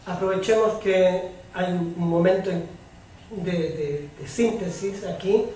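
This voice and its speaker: A man moderating a public panel debate, speaking haltingly with pauses mid-sentence. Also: sits high, dark tone. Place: public debate hall with audience. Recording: very echoey hall, distant mic, faint noise.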